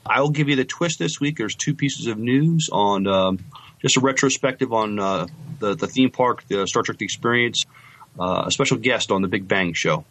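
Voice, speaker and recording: A man's voice: fairly low pitch, moderate pace, a bit bright. A man chatting casually about science fiction as a podcast co-host.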